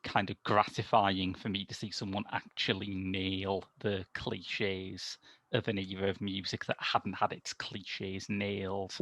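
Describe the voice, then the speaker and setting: A man's voice: low-pitched, flat delivery, unhurried, fairly bright tone, dry recording. A man discussing a film casually as a podcast reviewer, in a hesitant, discursive way.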